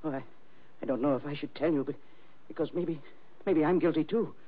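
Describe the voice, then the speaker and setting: Actor as timid, stammering little man, high-pitched. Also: fairly quick, natural voice. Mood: nervous, fearful. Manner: stammering, hesitant, apologetic. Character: timid, terrified funny little animal trainer, polite man. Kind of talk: 1950 American radio mystery acting. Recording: muffled old radio transcription.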